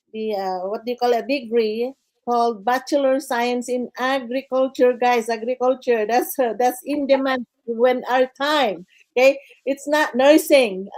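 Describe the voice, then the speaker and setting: Older filipino woman guest, slightly high voice, halting delivery with many fillers, chatty. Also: darkish tone, low-quality recording. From Philippines. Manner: halting, many "uh" fillers, says "guys" and "okay" often. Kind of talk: casual interview storytelling.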